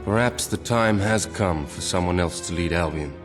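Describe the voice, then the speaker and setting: A man's voice: low voice, moderate pace, lightly acted. An actor playing a grave, imperious tyrant king in a video game, speaking solemnly. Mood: grave, defiant. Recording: music under game dialogue. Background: music.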